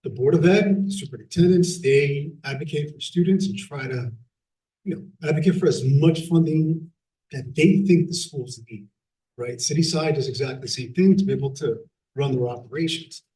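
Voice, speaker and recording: A man, a council member, losing his voice and clearing his throat as he speaks plainly at a council meeting. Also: fairly high pitch, normal speed, mellow, roomy sound, far from the mic, poor audio.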